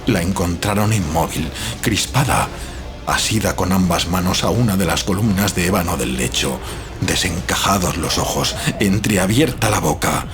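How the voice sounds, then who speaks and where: Male narrator, very low voice, solemn and suspenseful gothic storytelling with weighty pauses, prominent music underneath. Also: animated. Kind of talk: dramatic horror story narration.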